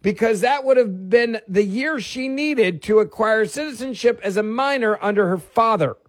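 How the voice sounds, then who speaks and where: Emphatic talk radio host, dry studio. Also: male voice, high voice, moderate pace, dry recording. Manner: emphatic, repeats himself, stacks asides. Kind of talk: opinionated talk radio monologue. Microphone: studio microphone.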